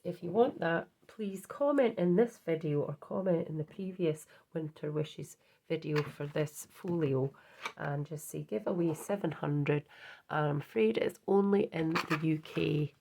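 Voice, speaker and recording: A woman with a hoarse voice from a cold, chatting casually on her craft vlog and showing her project, with many ums and restarts. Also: low voice, unhurried, background noise. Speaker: craft channel host.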